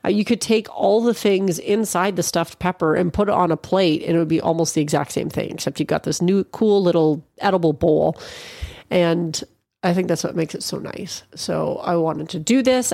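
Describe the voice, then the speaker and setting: A woman's voice: on the low side, normal speed, gravelly, a little mellow, no room sound, studio quality. A middle-aged woman from Canada hosting a podcast on her own, talking in a chatty, rambling way and sounding a little congested and nasal. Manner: chatty and rambling, with frequent restarts. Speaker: podcast host. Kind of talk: casual solo podcast monologue.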